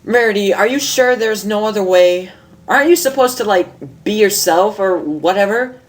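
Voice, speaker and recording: A woman voicing a brash but awkward young tomboy pony in a storybook reading, blunt, slangy and halting. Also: mid-range voice, lively intonation, pitched above the performer's own voice, lightly acted. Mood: protesting.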